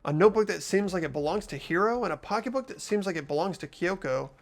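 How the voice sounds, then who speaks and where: A man's voice: high voice, lively intonation, quick pace. A man voicing an earnest, anxious teenage boy investigator, narrating and questioning in a video game readthrough. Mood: troubled, questioning. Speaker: host of playthrough. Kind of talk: amateur game voice acting.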